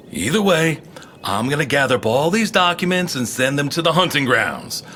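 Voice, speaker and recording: A man playing an excitable, folksy snoop who mutters and exclaims to himself in an audio drama. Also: on the high side, measured pace, slight background noise, full character voice. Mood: let down. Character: excitable male snoop poking around an abandoned moon base. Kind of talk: animated audio drama acting, talking to himself.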